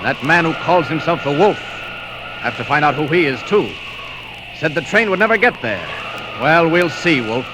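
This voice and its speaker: An actor playing a resolute, confident, defiant superhero in old radio serial style. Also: male voice, on the high side, animated, close to an everyday voice. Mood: determined. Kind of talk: 1940s radio acting. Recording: noisy old radio record, rushing sound.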